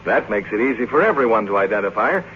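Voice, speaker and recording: A man's voice: lowish voice, expressive, fast. An American man announcing a 1950s radio commercial in a polished, salesmanlike manner. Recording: tinny, noisy vintage radio broadcast.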